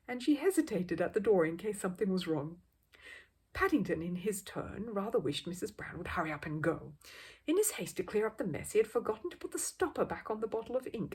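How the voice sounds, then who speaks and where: A woman narrating a children's story as a storyteller, expressively, with a husky, breathy voice. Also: mid-range voice, warm tone.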